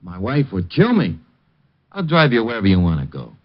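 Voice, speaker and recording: An actor playing a blunt gas station attendant and a flustered doctor in a 1950s radio drama. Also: male voice, on the low side, huge pitch swings, fairly quick, over loud music. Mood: gruff. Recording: muffled old radio broadcast.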